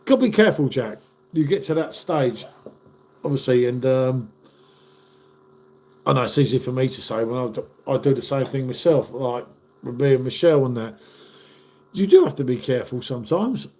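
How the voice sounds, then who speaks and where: A man's voice: mid-range voice, expressive, normal speed, pauses often, warm tone. A man in his fifties, hosting a casual live stream and chatting matily with viewers while stuffed up from a cold. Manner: chatty, matey, calls viewers "mate".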